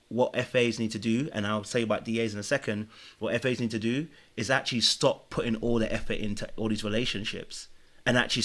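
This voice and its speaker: A man's voice: lowish voice, fairly bright tone. A man giving earnest, preachy relationship advice as a guest on a podcast chat. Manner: earnest, preachy, speaks in lessons.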